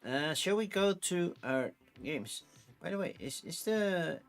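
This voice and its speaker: A man's voice: on the high side, animated, takes it slowly, gravelly, soft background music. A Dutch man in his forties with a Dutch accent, chatting casually and rambling on a livestream, with frequent restarts. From Netherlands. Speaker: streamer.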